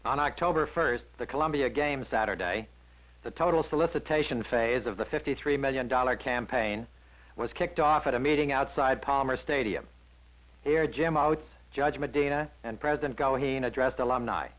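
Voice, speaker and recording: A man's voice: medium pitch, moderate pace, fairly bright tone, low-quality recording. An American man reading scripted newsreel narration from around 1960 in a polished, wry manner.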